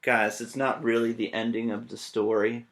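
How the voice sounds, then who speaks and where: A man reading a children's story aloud as a teacher, expressive and full of playful joking asides. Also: mid-range voice, moderate pace.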